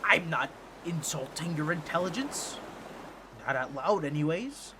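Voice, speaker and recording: A man voicing an excitable, boastful talking octopus in a comedic audio roleplay, with a nasal, squawky voice and a fussy, theatrical, pompous way of talking to the listener. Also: a little high, measured pace, noisy background. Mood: sly, flattering.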